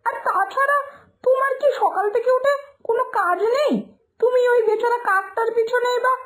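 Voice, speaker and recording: A woman's voice: very high voice, normal speed. A woman voicing a lazy, defiant village daughter who whines and talks back in a cartoon fairytale. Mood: annoyed, defiant. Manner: whiny, irritated back-talk.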